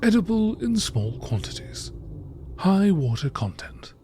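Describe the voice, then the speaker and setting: Man narrating over clear background noise. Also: high voice, big pitch swings, dark tone. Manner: measured, reading aloud. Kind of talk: scripted nature documentary narration.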